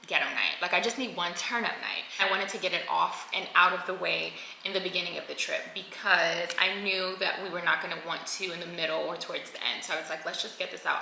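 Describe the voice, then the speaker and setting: A woman's voice: fairly low pitch, gravelly, sharp tone. A woman in her twenties narrating a casual travel vlog in a chatty, slangy way, talking to viewers like friends. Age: 20s. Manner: chatty and slangy, addressing viewers as girl and honey. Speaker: vlogger. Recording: roomy echo with faint noise.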